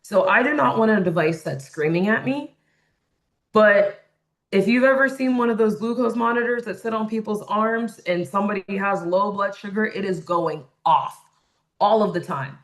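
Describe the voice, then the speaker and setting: A woman with a loud voice facilitating a design webinar, explaining points conversationally and checking in with "right?". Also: mid-range voice, normal speed, rough recording. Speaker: workshop facilitator. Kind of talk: webinar presentation.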